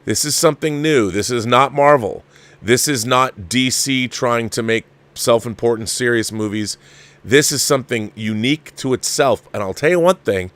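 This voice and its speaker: A man's voice: mid-range voice, normal speed, fairly bright tone, dry recording. A man hosting a live movie review show, talking casually and emphatically in a rambling way, repeating phrases to press his point. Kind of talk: casual livestream film commentary, reading viewer messages.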